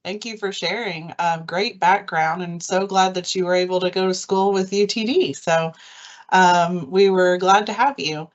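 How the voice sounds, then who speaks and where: A woman's voice: fairly low pitch, steady tone, normal speed. Upbeat woman hosting on lo-fi stream. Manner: upbeat and encouraging. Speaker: host, career services director. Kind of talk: hosting a live interview. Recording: dull lo-fi online stream audio.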